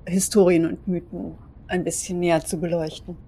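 A woman's voice: on the low side, level tone, normal speed, gravelly, warm tone, slight background noise, poor audio. A woman playing a curious, self-assured vampire in an audio drama, with a formal, composed delivery. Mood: interested, composed. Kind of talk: audio drama role-play dialogue.

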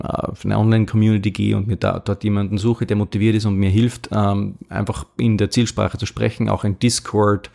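A man's voice: fairly low pitch, gravelly, mellow. A man hosting a language-learning podcast, talking informally to the listener with many fillers and restarts. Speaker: podcast host. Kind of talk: casual podcast monologue with sponsor read.

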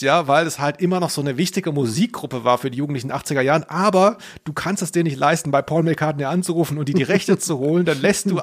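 Podcast guy, jokey and casual, voice a bit high for a man. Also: husky. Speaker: podcast host.

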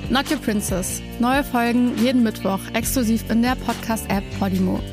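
A woman's voice: fairly high pitch, normal speed. A woman reading scripted promotional narration for a podcast advert. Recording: clear music bed under voice. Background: music.

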